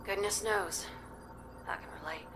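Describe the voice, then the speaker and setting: A woman's voice: mid-range voice, measured pace, lightly acted. Woman as a folksy pilot, music behind. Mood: thoughtful, sympathetic. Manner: folksy, colloquial, matter-of-fact. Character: plainspoken, folksy female pilot giving scouting reports. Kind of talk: scripted radio report in a video game.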